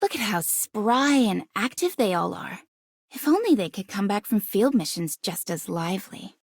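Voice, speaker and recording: An actress voicing a fretful, caring female doctor in a video game, with a slightly husky voice. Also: mid-range voice, animated, moderate pace, dry recording, studio quality. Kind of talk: scripted game character voice lines.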